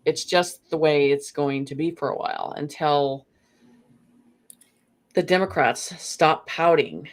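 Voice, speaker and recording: A guest in a casual conversation, rambling and animated, often saying "you know.". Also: mid-range voice, slow, pauses often. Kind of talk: casual chat.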